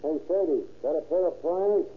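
Casting: slangy, bullying gangster leader, tough and sneering, vintage narrow-band radio crime play. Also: male voice, on the high side, normal speed, breathy edge, faint music behind. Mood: curt, scornful. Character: male gang leader, tough sneering menacing 1930s hoodlum. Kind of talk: 1930s radio crime drama acting.

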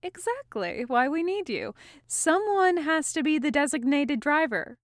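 Swashbuckling fantasy woman as a female narrator plays her: bossy, flirty, unhurried, high-pitched. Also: animated, dry recording, clean studio sound. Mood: teasing. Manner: careless, teasing, commanding.

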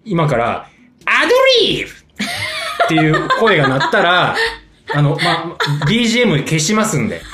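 A man's voice: a little high, sing-song, over music. A man co-hosting a casual radio chat, bantering and chuckling as he echoes and reacts to his partner. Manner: jokey, chuckling, quick to echo and react. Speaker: radio show co-host.

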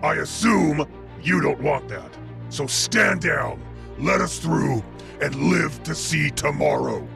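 A man's voice: fairly high pitch, animated, takes it slowly, gravelly, a bit bright, faint music behind, heavily acted. A man voicing a mocking, scheming villain boss in a comedic cartoon, with a taunting, theatrical manner. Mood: smug, scheming.

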